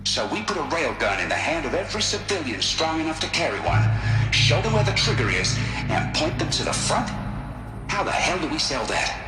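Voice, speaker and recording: A man's voice: on the high side, big pitch swings, a little fast. A man with an American accent playing an indignant military general in a game, exasperated and protesting. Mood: outraged. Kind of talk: video game cutscene voice acting. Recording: echoey room, loud music behind.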